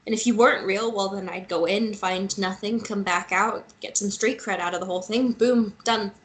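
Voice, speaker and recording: A woman's voice: medium pitch, fairly even intonation, normal speed, lightly acted. A woman playing a playful, vulnerable young woman in a table read of a romantic fantasy drama, with casual, teasing phrasing. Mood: self-reproachful.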